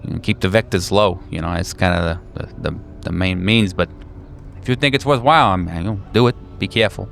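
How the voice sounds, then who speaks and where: A man playing a weary, hardened veteran handler in a tabletop roleplay, talking in a halting, offhand way that trails off. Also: low voice, creaky, a bit bright, a little hiss or hum. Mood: jaded, hedging. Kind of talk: improvised tabletop roleplay dialogue.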